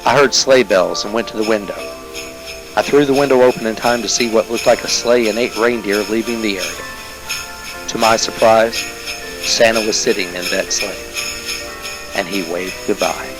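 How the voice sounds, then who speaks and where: A man playing a world-weary, deadpan police detective narrating a hardboiled crime parody, with music behind. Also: fairly low pitch, moderate pace, close to an everyday voice, poor audio. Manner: deadpan, clipped.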